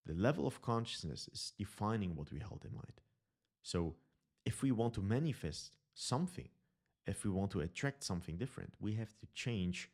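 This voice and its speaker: A man with a German accent, a native German speaker, earnestly teaching about consciousness over slides and often pausing to correct his words. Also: low voice, darkish tone. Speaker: consciousness mentor.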